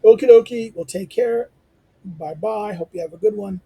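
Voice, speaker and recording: A hoarse man winds down a reading lecture in a loose, rambling way, stumbling over fillers and restarting his sentences. His voice sits high for a man, with a rough, gravelly edge and a dark, mellow tone. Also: moderate pace, low-quality recording. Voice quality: squeaky. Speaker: lecturer and channel host. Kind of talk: informal spoken outro to a reading lecture.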